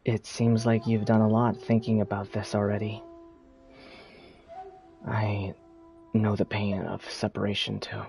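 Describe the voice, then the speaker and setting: A man playing a calm, thoughtful young traveler in a video game, speaking quietly and deliberately. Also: lowish voice, vocal fry, faint music behind. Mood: empathetic. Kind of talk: video game character acting.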